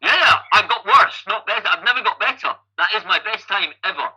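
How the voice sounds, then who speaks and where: A man's voice: high-pitched, expressive, fairly quick, bright, forceful tone, husky, rough recording. A Scottish man in his fifties, a guest athlete and coach, telling stories in a chatty way and often repeating the first word of a sentence. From Scotland. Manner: chatty, repeats words when starting sentences. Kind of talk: casual interview storytelling.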